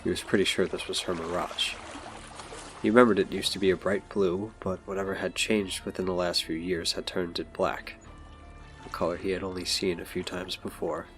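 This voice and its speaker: A man's voice: deep voice, flat delivery, normal speed, a bit bright, soft background music. A man delivering scripted narration as the narrator of an audio drama.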